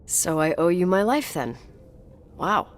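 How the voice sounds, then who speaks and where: A woman's voice: fairly low pitch, very animated, measured pace. An actress playing a guarded, strong-willed young American woman with a dry manner in a naturalistic video game. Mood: tired, wry. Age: young adult.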